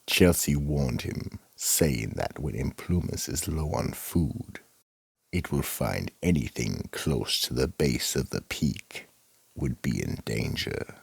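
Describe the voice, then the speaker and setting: A man playing a sinister, menacing horror storyteller with a gravelly, husky voice, narrating a radio drama theatrically. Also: very deep voice, expressive, slow, no echo, exaggerated voice. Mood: ominous. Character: sinister psychotic horror storyteller, keeper of the vault. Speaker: host and narrator.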